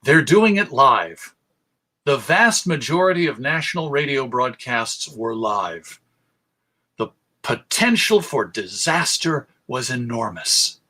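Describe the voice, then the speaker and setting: A man's voice: mid-range voice, sing-song, slow pace, bright, forceful tone. A man giving a history talk as presenter, telling it like a story in an emphatic, conversational way. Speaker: presenter, radio show host. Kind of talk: illustrated history talk to an audience.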